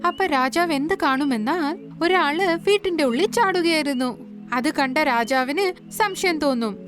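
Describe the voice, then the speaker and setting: A woman narrating an animated moral story in a simple, colloquial way, with background music. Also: sits high, a little slow.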